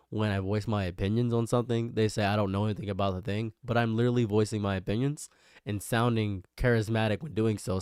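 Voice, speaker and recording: A man's voice: lowish voice, darkish tone, clear, resonant tone, no room sound, studio quality. A young man with a nasal voice, rambling and self-mocking as he chats with his live stream viewers. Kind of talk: casual live stream chat.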